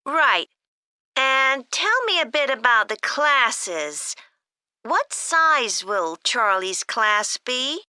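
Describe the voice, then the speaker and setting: An inquiring mother on an enquiry call. Her voice is high and very crisp, with lively swings in pitch. She is polite, in the scripted manner of a language test dialogue. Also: extremely slow, no echo, clean studio sound, heavily acted. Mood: politely curious. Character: friendly mother phoning to ask about a kids' camp. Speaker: caller. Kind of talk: acted phone enquiry for a listening test.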